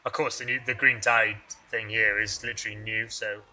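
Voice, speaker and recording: A man's voice: lowish voice, flat delivery, moderate pace, sharp tone, poor audio. A man hosting a strategy game let's play, rambling and thinking aloud in a casual, self-deprecating way. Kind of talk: casual gameplay commentary.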